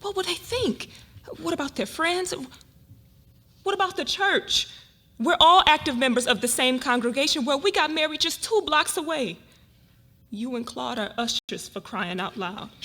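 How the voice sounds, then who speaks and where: A woman performs a shocked wife and mother in a dramatic reading. The voice is high and bright, full of incredulous exclamation and pleading, with animated rises and drops. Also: moderate pace, heavily acted. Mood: anguished, pleading.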